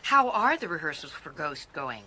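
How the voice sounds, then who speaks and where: A woman's voice: deep voice, big pitch swings, moderate pace, bright, forceful tone, exaggerated voice. A woman playing a friendly, eager detective's assistant in a radio mystery drama, perky and inquisitive. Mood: curious, eager.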